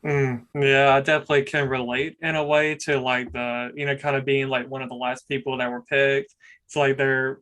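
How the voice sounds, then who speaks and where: A young male podcast host with a monotone, mid-pitched voice. He rambles casually and leans on fillers as he asks his guest questions. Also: moderate pace. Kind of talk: casual conversational interview.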